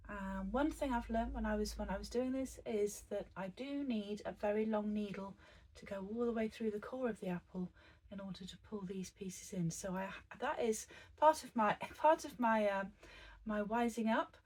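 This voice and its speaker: Croaky, husky woman teaching embroidery. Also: mid-range voice, normal speed, darkish tone, rough recording. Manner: chatty, meandering, with many fillers and restarts. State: croaky after a virus. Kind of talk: craft tutorial narration.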